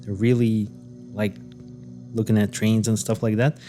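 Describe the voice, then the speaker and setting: A man's voice: lowish voice, little pitch movement, moderate pace, warm tone, soft background music. A man co-hosting a podcast, recounting a case in loose, hesitant casual chat full of fillers and restarts. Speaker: podcast co-host. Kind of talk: casual conversational storytelling.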